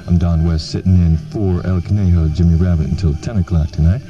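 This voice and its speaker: A man with a low voice and an American accent presents as a radio DJ. His delivery is very bright and forceful. The recording is a dull, lo-fi 1970s radio aircheck. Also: fairly even intonation, fairly quick, a little hiss or hum. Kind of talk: radio presenting, announcements and concert promos.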